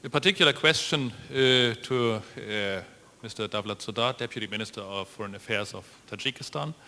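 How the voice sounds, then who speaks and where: A man's voice: lowish voice, fairly quick, sharp tone. A man from a development agency asking a businesslike question at a conference. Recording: long hall reverb.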